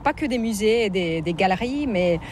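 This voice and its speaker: A woman's voice: mid-range voice, lively intonation, normal speed, a little mellow. A woman giving an explanatory guided tour in an interview, searching for her words as she talks outdoors. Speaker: local design district guide. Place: outdoors on city streets. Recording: clear outdoor city traffic noise. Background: street ambience, passing vehicles.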